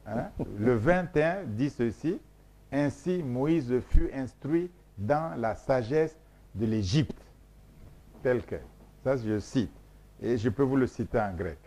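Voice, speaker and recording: A man's voice: mid-range voice, animated, slow pace, dark tone. Emphatic lecturing man from Congo. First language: Mboshi. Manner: emphatic, repeats points, lecturing. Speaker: scholar guest. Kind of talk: interview answers.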